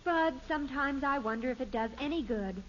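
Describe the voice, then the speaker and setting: A woman's voice: sits high, a little fast, fairly bright tone. A woman playing a prim, exasperated teenage sister in a 1950s American radio sitcom, scolding in an American accent. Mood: prim exasperation. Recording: dull noisy old radio recording.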